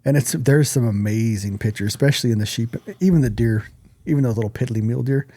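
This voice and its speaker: A man's voice: medium pitch, fast, dark tone, no echo. A man co-hosting a podcast, chatting casually in an informal, rambling way. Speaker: podcast co-host.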